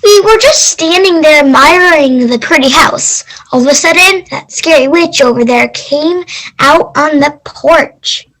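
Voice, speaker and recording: A woman's voice: sits high, lively intonation, unhurried, a bit bright, low-quality recording. A woman reading the part of a whiny child telling a fishy tale in readers theater. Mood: whiny, defensive.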